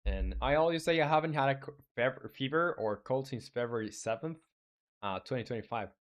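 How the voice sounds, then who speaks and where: A young Chilean man, Spanish his first language, talking loosely to his stream viewers while he codes. His voice sits at an ordinary middle pitch, and his sentences often stall, restart and fill with "uh" and "like.". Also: animated. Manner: halting, many restarts and fillers. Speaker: game developer streamer. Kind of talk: casual live-stream chat reading viewer comments.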